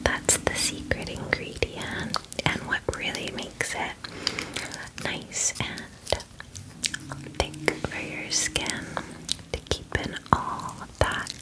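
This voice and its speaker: A woman playing a friendly, caring dragon in a whispered ASMR roleplay, speaking softly and breathily in a gentle, chatty, hesitant way. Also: close to an everyday voice, poor audio. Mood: soothing, friendly. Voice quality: soft, breathy, mostly unvoiced whisper. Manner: gentle, unhurried, chatty and casual with hesitant fillers. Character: friendly, eager, caring female dragon pampering a guest.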